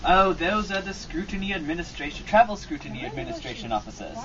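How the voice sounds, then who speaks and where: A man playing a pompous, gentlemanly figure with old-fashioned manners in an old-time radio drama. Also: high voice, very animated, fast, a crowd in the background. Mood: jovial, pompous. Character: pompous, jovial company president and puzzled airship captain. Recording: muffled mic, clear background noise.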